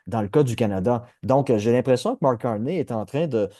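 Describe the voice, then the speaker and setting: Québécois male podcast host, warm and dry, thoughtful and meandering delivery. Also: mid-range voice, brisk, dry recording. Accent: Québécois French. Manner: discursive, frequent restarts and hesitations. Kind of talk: analytical political discussion.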